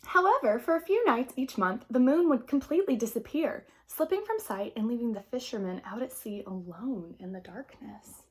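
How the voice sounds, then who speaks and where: A woman reading a children's picture book aloud in an expressive, gentle, unhurried way, with casual asides to young listeners. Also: on the high side. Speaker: storybook reader.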